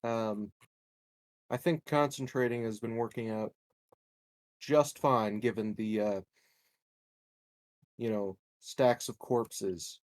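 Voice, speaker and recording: Male player leading his group, slow casual delivery, close and dry. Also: fairly low pitch, no room sound. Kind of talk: casual tabletop game chat.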